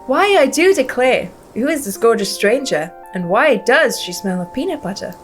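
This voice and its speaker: A woman's voice: on the high side, big pitch swings, moderate pace. Woman playing a genteel old female dog, florid phrasing, haughty, game character, music behind. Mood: gushing. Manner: florid, old-fashioned Southern-belle phrasing.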